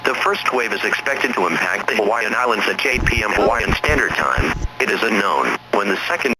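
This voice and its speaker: A man's voice: lowish voice, lively intonation, fairly quick, sharp tone. A man reading a formal emergency alert as a broadcast announcer. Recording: noisy radio-style alert broadcast.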